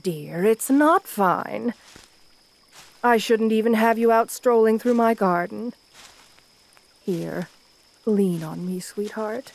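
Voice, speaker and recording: A woman's voice: mid-range voice, takes it slowly, vocal fry, long pauses, poor audio. A woman playing an assertive, flirtatious vampire countess in an ASMR roleplay, speaking to the listener in a genteel, doting way. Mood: doting, coaxing. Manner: genteel, doting, uses pet names.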